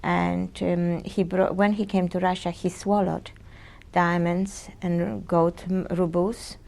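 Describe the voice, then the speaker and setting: This is a Polish-born woman in her sixties recorded on an old 1980s cassette, giving testimony. Her voice is gravelly, and her speech is halting and searching, full of ums and restarts. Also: lowish voice, level tone, a little mellow. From Poland. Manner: hesitant, searching for words with many ums and restarts. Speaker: interviewee. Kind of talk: oral history testimony. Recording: 1980s audio cassette interview.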